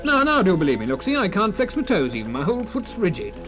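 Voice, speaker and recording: Petulant, high-voiced fussing husband. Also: male voice, wildly swooping pitch, fast, fairly bright tone, soft background music, full character voice. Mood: alarmed, pleading. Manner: exaggerating, whiny, defensive. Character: petulant husband fussing childishly over his numb foot. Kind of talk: radio drama acting.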